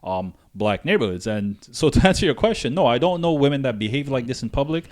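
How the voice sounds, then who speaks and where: Guy from guyana, medium pitch, chatting opinions on a podcast, works through his points step by step. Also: big pitch swings, quick pace. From Guyana, moved north when young. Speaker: podcast co-host. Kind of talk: casual opinion discussion.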